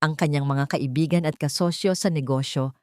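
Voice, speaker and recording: Lady storyteller reading a dramatic romance, lowish voice, really dry close sound. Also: moderate pace, darkish tone, no echo.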